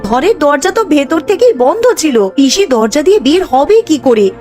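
A woman voicing a dutiful, anxious young village housewife in a cartoon, earnest and expressive. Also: high-pitched, brisk, soft background music. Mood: busy, worried. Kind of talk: Bengali animated ghost-story voice acting.

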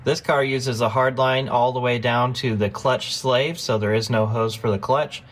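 A man's voice: mid-range voice, steady tone, normal speed, a bit bright. A man narrating a car repair step by step, practical and instructive, as the host of his own workshop videos.

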